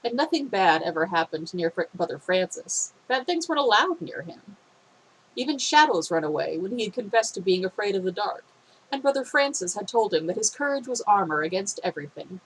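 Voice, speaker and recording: Brief: woman story reader, slightly phlegmy, steady and expressive, faint hiss under the recording. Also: medium pitch, brisk, rough recording. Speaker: fan fiction reader. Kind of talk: reading a story aloud.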